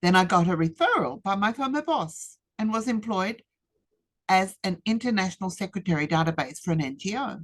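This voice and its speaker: A woman, giving a rehearsed webinar presentation in a measured, deliberate way with emphatic pauses. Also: medium pitch, animated. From Australia. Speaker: speaker. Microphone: webinar computer mic.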